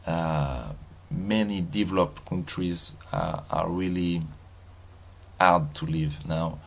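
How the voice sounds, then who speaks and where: A man in his thirties with a strong French accent, vlogging outdoors in a halting way with many fillers and restarts. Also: deep voice, steady tone, vocal fry. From France. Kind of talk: casual walking vlog. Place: outdoors in a seaside mountain town.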